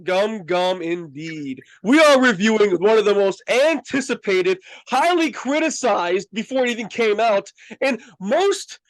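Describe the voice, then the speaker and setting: A man's voice: high voice, expressive, measured pace, few pauses, no echo, poor audio. A man hosting a casual fan review chat, speaking in an emphatic, slangy and enthusiastic way. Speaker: host of a review show.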